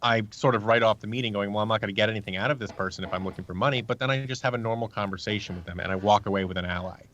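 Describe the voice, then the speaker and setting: A man's voice: lowish voice, rapid-fire, fairly bright tone. A man giving casual, earnest advice through anecdotes as a podcast guest, acting out the remarks he quotes. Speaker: podcast guest, actor and producer. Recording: dull lo-fi audio.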